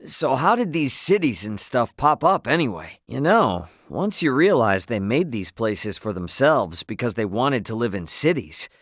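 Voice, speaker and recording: A man's voice: medium pitch, sing-song, a little slow, hardly any pauses, a bit bright, no echo, studio quality. A male actor playing a brash, good-hearted young spacefaring captain in a video game, with casual, slangy, plain-spoken delivery. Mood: puzzled.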